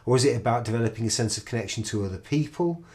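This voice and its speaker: A man's voice: medium pitch, animated, racing, darkish tone. A middle-aged man, a researcher, explaining a research method in an enthusiastic, explanatory way, often restarting his sentences. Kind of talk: recorded project presentation.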